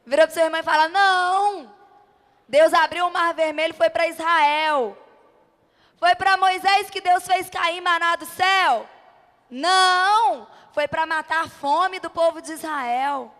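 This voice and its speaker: A woman's voice: extremely high pitch, a bit bright. A Brazilian woman preacher delivering an impassioned, emphatic sermon at a church event, driving points home with rhetorical questions and repeated short phrases. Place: church event before a congregation. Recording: reverberant hall amplification.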